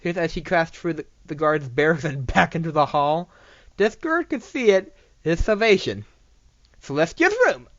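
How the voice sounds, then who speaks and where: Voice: mid-range voice, expressive, normal speed, a bit bright, no echo. Amateur story reader, very varied intonation, stumbles and repeats, fan fiction narration, dry, slightly dull home recording. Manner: stumbling, often repeats phrases.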